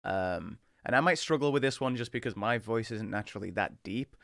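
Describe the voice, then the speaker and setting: Brief: male video host, mid 20s, casual chat, some vocal fry, very dry close recording. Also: lowish voice, brisk, a bit bright, dry recording. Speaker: content creator doing voice acting challenge.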